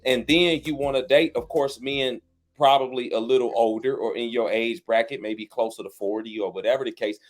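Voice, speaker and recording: A man at a middle pitch hosts a podcast in casual chat. He lays out his views plainly, like a lecture, using loose dialect grammar. Faint music sits in the background. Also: fairly quick. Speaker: podcast host.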